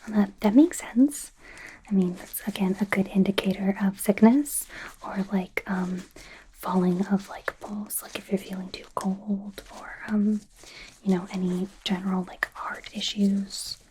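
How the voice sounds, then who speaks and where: A woman playing an anxious, apologetic young werewolf medical student in a soft, hesitant ASMR roleplay whisper. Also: mid-range voice, fairly even intonation, background noise, lightly acted. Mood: earnest, hesitant. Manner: hesitant, halting, nervous.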